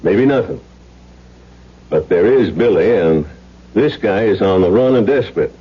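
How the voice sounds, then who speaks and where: Folksy lawman, husky, plainspoken, unhurried, radio drama, dull vintage sound. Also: lowish voice, animated. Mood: grave. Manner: unhurried, plainspoken, friendly but serious. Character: folksy, steady small-town male sheriff. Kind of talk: old-time radio drama acting. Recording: dull old radio recording.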